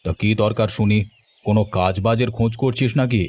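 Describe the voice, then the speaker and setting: A man's voice: lowish voice, steady tone, fairly quick, a little mellow, close to an everyday voice. A man voicing a kindly, inquisitive village headman in a cartoon, questioning with a mildly teasing manner. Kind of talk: cartoon voice acting.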